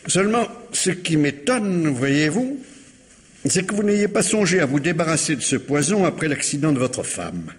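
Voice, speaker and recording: An actor playing a courteous, insistent police commissioner who repeats himself in measured questioning, in old radio mystery style. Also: male voice, on the high side, big pitch swings. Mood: probing. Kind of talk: 1950s French radio mystery acting. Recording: reverberant hall-like radio drama sound.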